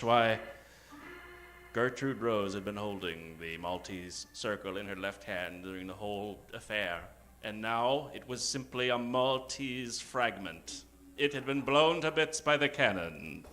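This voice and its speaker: A man's voice: medium pitch, pushed and bright. A man narrating and announcing an improvised old-time radio comedy in a theatrical, dramatic way.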